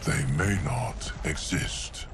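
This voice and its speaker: An actor playing an ageless, loyal guardian of time in a fantasy game, speaking formally and precisely. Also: male voice, rumbling low, slow pace, background noise. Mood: calm, solemn. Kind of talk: cinematic fantasy game acting.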